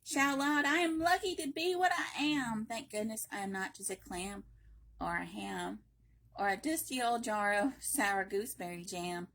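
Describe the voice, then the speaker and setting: A woman reading a children's picture book aloud in a playful, expressive way, with emphatic repetition and chatty asides. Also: mid-range voice, moderate pace. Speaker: library read-aloud reader.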